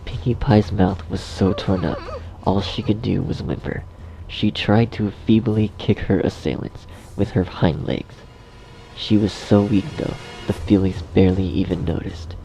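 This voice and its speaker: A man's voice: lowish voice, fairly even intonation, measured pace, vocal fry, dark tone, rough recording. A man reading scripted horror story narration as the narrator. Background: music.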